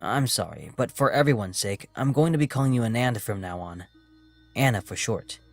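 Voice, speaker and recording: A man playing a kind, gently firm and apologetic savior in an audio drama. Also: medium pitch, animated, normal speed, creaky, faint music behind. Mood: gentle, regretful. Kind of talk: acted audio drama dialogue.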